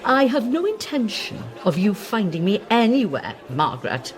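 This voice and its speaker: An older woman with a Welsh accent playing a proud, sharp-tongued, ageing female singing star in a radio play. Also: mid-range voice, big pitch swings, moderate pace, lightly acted. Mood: wounded, defiant. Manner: theatrical, grand, teasing.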